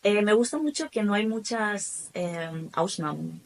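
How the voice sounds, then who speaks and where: A woman from Spain with a Spanish accent, a guest in a casual interview, telling her story in a lively way with many asides. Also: mid-range voice, a little slow, gravelly, a little hiss or hum. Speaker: guest, German teacher and academy founder.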